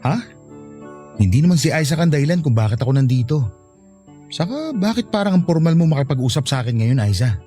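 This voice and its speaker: A man's voice: on the high side, expressive, fairly quick, mellow, faint music behind, close to an everyday voice. A man playing an insistent, wounded suitor in a radio drama, earnest and questioning. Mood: insistent, hurt. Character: insistent, wounded male suitor, separated father.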